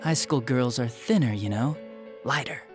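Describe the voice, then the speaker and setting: An actor playing a smug, spiteful young detective villain in a video game, with a sneering, flippant and theatrical manner. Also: male voice, mid-range voice, normal speed, faint music behind, natural voice. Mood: gleeful mocking. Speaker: police detective. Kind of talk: dramatic video game voice acting.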